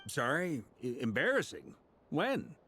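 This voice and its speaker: A man's voice: on the high side, huge pitch swings, measured pace, pushed and bright, a little hiss or hum, heavily acted. An actor playing a blunt, impatient young man in an anime game, with a questioning manner. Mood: taken aback. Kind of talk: anime game character acting.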